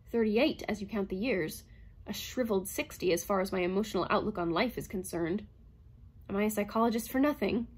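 Lonely bitter woman psychologist, late thirties read by a woman; breathless, tense, husky. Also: mid-range voice, moderate pace, lightly acted. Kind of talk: audiobook character reading.

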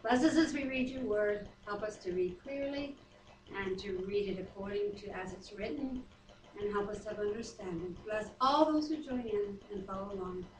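A middle-aged woman, chatty and quick to laugh, co-hosting a home Bible reading and praying. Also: medium pitch, noisy background. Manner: chatty, laughs easily, self-deprecating. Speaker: co-host leading prayer and Bible reading. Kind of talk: casual chat, prayer and scripture reading. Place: wood-paneled home dining room, indoors. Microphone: far camera. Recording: roomy, distant home video.

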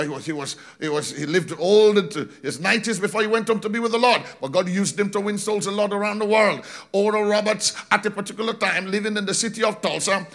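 A middle-aged man preaching on a large church stage into a handheld microphone, animated, slowing down for emphasis and shouting key points. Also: high-pitched. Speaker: pastor. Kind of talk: sermon. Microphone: handheld mic.

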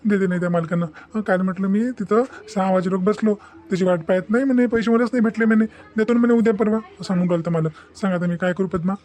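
A man plays a protective, worried village father with a high, fast voice. He insists earnestly, repeating himself, in a Varhadi Marathi accent, as in a simple cartoon moral story. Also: steady tone, a little mellow, close to an everyday voice.

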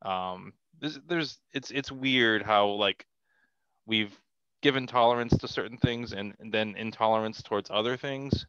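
A middle-aged man with a slightly low, bright voice talks casually as a podcast guest. His sound is dry and close on a headset, and he often restarts his phrases. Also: unhurried, clear, resonant tone, no echo, dull audio. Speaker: podcast guest, comic artist. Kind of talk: casual conversational interview. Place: small cluttered room at home, video call. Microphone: headset on a video call.

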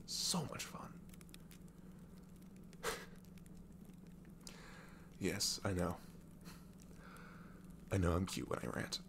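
A man playing an affectionate, dorky young boyfriend in an ASMR roleplay, speaking softly and breathily, often near a whisper, in a halting, tender way. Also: sits low, fairly even intonation, background noise, full character voice. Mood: playful, affectionate.

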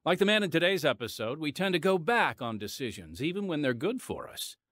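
Animated, higher-pitched male podcast host. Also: fairly quick, dry recording, studio quality. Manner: polished presenter delivery. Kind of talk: scripted narration.

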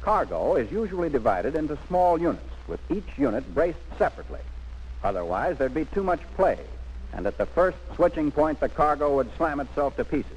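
A man's voice: a little high, big pitch swings, fairly quick, a little mellow, slight background noise, poor audio. A man narrating a 1940s military instructional film in an emphatic, instructive scripted manner, on an old film soundtrack.

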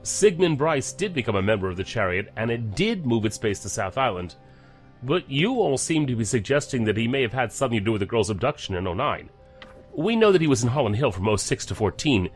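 An actor playing a measured, knowledgeable investigator in an audio drama, explaining things deliberately. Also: male voice, medium pitch, very animated, soft background music, lightly acted. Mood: measured, explanatory. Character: male investigator briefing colleagues on a cult, measured, knowledgeable.

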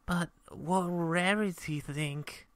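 Man doing a squeaky sleep-talking little dragon. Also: fairly bright tone, no echo. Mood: dreamy, flustered. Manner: slow, drawn-out sleep-talking mumble.

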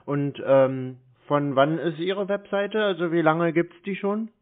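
A man's voice: on the high side, fairly even intonation, measured pace. A man in a casual phone conversation, dry, laconic and skeptical. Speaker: scam-baiter taking a sales call. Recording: narrow phone-like sound.

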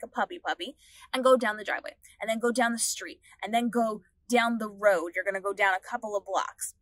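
A woman's voice: on the high side, no echo, low-quality recording. A woman in her thirties giving earnest dog-training advice from the back seat of a parked car, filmed at arm's length. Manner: earnest, steady advice-giving with repeated phrasing. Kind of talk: instructional vlog talk. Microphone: phone or camera at arm's length.

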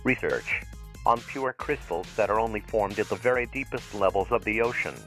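A man's voice: fairly low pitch, lively intonation, moderate pace, fairly bright tone. A synthetic male voice playing a troubled, plaintive robot therapy patient in a dubbed game story. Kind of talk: text-to-speech dub of a robot game story. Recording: faint music behind synthetic voice.

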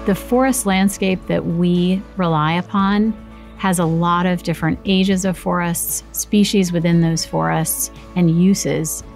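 A woman's voice: lowish voice, steady tone, a little slow, creaky, a little mellow, soft background music. A woman executive speaking in a polished, assured way in a corporate interview. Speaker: chief sustainability officer.

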